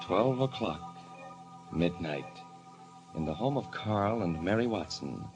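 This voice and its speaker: Deep, ominous radio mystery announcer man. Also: expressive. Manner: theatrical, ominous, deliberate. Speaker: announcer and narrator. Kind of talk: 1940s radio mystery narration. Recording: vintage radio with music behind.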